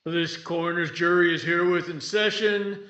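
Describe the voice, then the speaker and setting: A man's voice: high-pitched, fairly even intonation, moderate pace, sharp tone, dull audio, soft background music. A man playing a formal, officious court crier in a 1950s radio drama, declaiming the proceedings. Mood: officious, proclaiming. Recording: roomy, band-limited sound.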